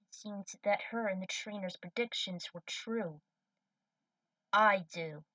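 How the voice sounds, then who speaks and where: Female narrator, scripted story reading, mid-range pitch, dry, slightly distant microphone. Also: fairly even intonation, normal speed, fairly bright tone, no room sound.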